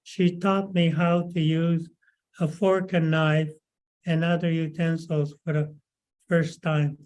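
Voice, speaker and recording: A man in his nineties from Canada tells his memories at a slow pace. His voice sits a little high and stays very flat in pitch. He pauses often and fills the gaps with small sounds. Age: 90s. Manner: slow, halting, many pauses and fillers. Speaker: webinar speaker. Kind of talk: recalled life story.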